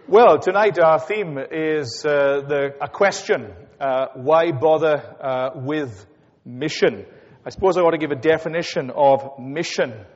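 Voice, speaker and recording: A Welsh man preaching to a large gathering, telling stories in an easy, chatty way with little hesitations. His voice sits a touch high and rings out with a long hall echo. The sound is dull and muffled. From Wales. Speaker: guest speaker. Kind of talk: sermon with anecdotes.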